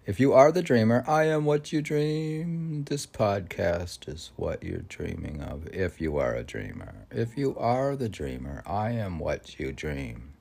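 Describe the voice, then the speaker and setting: A man hosting a poetry podcast, reading verse and musing aloud in halting, questioning asides as if talking to himself. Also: fairly low pitch, animated, gravelly, darkish tone. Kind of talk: poetry reading with rambling casual commentary.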